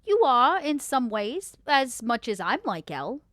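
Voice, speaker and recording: A woman's voice: high voice, big pitch swings, unhurried, a bit bright, no echo, clean studio sound, full character voice. A woman playing a folksy, motherly, salesy vampire businesswoman in a tabletop roleplaying game. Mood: reassuring. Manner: folksy, salesy, reassuring, calls others honey.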